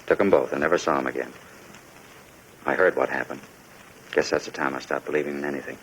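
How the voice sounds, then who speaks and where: Fast, desperate, haunted fugitive. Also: male voice, extremely low pitch, steady tone, fairly bright tone. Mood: bleak, resigned. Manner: distrustful, anguished, halting repetition under strain. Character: desperate, haunted male immigrant former prison-camp escapee holding a gun. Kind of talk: 1950s radio crime drama acting. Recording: muffled, noisy 1950s radio recording.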